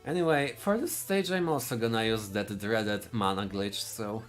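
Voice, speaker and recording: A man from Poland with a Polish accent, giving live speedrun commentary in a halting way, often restarting his sentences. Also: fairly low pitch, faint music behind. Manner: halting, searching for words, frequent restarts.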